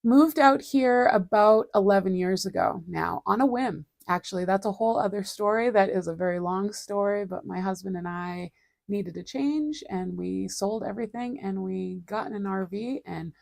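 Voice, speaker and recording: A woman, chatting casually and rambling as she hosts a relaxed art livestream, laughing often and poking fun at herself. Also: mid-range voice, moderate pace, creaky, no room sound. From Boston area, USA. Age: 40s. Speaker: livestream host and artist. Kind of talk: casual chat. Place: home studio.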